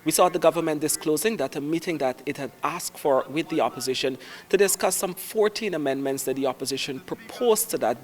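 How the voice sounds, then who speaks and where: A man giving a steady news report on a parliamentary debate. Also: a little high.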